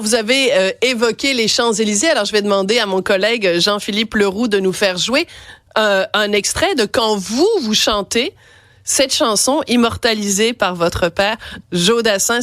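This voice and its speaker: A woman's voice: mid-range voice, normal speed, fairly bright tone, no echo. A woman radio host with a Quebec French accent, chatty and playful, with a cold, interviewing a guest in the studio. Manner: chatty, playful, laughs often. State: has a cold.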